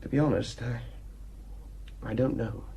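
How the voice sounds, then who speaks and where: A man's voice: mid-range voice, measured pace, gravelly, mellow, slightly rough, exaggerated voice. An actor playing a cynical, defiant male painter in a radio drama, telling his tale wryly and without remorse.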